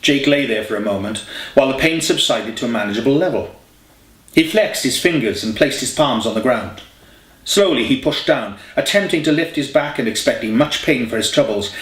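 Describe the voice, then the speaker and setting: A man's voice: mid-range voice, lively intonation, a little fast. A man reading a scripted story as an audiobook narrator. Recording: echoey room, distant mic, faint hiss.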